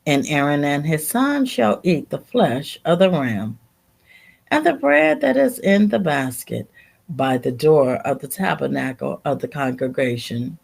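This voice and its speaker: A woman reading scripture aloud in a deliberate, halting way, sometimes repeating a word as she goes. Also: low-pitched, gravelly. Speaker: Bible reader.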